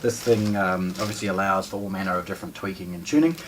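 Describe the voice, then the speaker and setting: Man, forties, New Zealand accent, fairly deep, informal product demonstrator, hesitant mid-sentence pauses, noisy room recording. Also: steady tone. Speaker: product presenter for a hi-fi shop. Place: indoor room with shelves of discs and a wooden table. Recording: distant mic, noticeable background noise.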